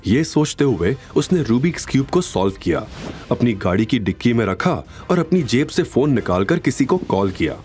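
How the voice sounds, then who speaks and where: Male narrator, dramatic scripted storytelling, animated pitch, average depth, background music. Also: moderate pace.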